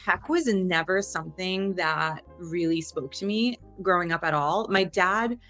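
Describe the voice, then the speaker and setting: A woman in her thirties from Delaware, telling her career story in a casual, animated interview. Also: on the low side, a little slow, faint music behind. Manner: chatty, animated, loose restarts. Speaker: guest.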